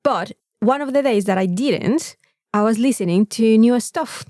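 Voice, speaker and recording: A woman's voice: a little high, normal speed, darkish tone, no echo, clean studio sound. A woman with a Mexican accent, co-hosting a casual podcast chat in an informal, rambling, sweary way. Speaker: podcast co-host.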